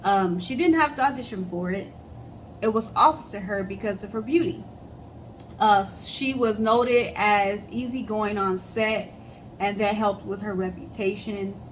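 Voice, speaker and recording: A woman's voice: mid-range voice, unhurried, slight background noise, poor audio. A woman with a mid-range voice co-hosts a casual storytelling show. She talks in a friendly, upbeat manner. There is slight room ambience behind her. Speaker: co-host.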